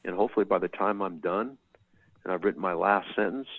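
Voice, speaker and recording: A deep-voiced middle-aged man from California talks through an author interview, halting and restarting as he thinks aloud. His audio is dry and thin, like a phone line on a video call. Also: steady tone, breathy edge, dry recording. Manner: halting, many repeated words and restarts. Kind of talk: thoughtful conversational answers.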